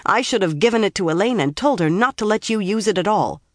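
A woman's voice: deep voice, fairly quick, no room sound, clean studio sound, close to an everyday voice. A woman reading an audiobook, voicing a proud village wise woman who argues indignantly and tries to sound authoritative.

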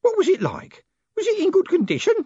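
A man's voice: extremely high pitch, huge pitch swings, brisk, mellow, dry recording, dull audio, pitched above the performer's own voice, full character voice. A man voicing a little old postman in an audiobook, anxious, muttering, hesitant and fussily deferential. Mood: insistent.

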